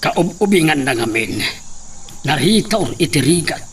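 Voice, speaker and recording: A man's voice: a little high, animated, normal speed, fairly bright tone, slight background noise. A man acting a fatherly older mentor in an Ilocano drama, with an Ilocano accent. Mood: reflective, approving.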